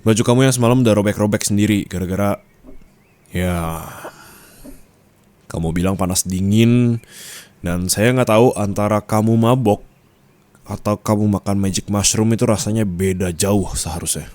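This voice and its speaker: Male actor as a strict office boss, low-pitched voice, commanding, teasing, flirty, possessive, intimate ASMR roleplay. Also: a little mellow, lightly acted. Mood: stern, reproachful. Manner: commanding, teasing, drawn-out questioning tags.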